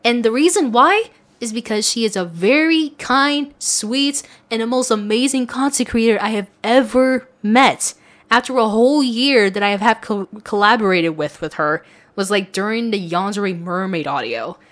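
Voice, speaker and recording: A woman's voice: fairly high pitch, normal speed. A woman speaking casually and earnestly in a rambling, chatty way as she reads and answers questions from listeners. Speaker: audio content creator.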